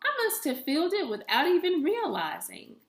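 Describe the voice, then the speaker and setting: A woman's voice: high voice, big pitch swings, moderate pace, echoey room, voice pushed up. A woman voicing a kindly, forgetful storybook grandmother who exclaims and muses aloud to herself. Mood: fond, contented.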